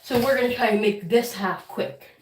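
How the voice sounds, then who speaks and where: A woman with a head cold who keeps clearing her throat, chatting casually on a home livestream while caring for her guinea pigs and talking fondly to them. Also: medium pitch, normal speed, roomy sound, recorded from a distance, slight background noise, poor audio. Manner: chatty, talks to her pets affectionately. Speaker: guinea pig channel host. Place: room at home, weighing pets on a counter.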